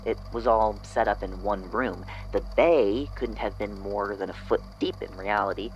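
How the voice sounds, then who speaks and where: A man's voice: fairly low pitch, steady tone, normal speed, lo-fi sound, natural voice. A man acting as an unsettled narrator in an audio drama, hesitantly and reflectively recounting an eerie memory. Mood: uneasy wonder. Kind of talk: audio drama statement, hesitant first-person storytelling.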